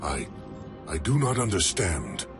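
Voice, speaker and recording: An actor playing a solemn, troubled alien warrior in a game, speaking in a grave, formal manner. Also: male voice, extremely low pitch, huge pitch swings, measured pace, vocal fry, music in the background, exaggerated voice. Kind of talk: scripted game cutscene dialogue.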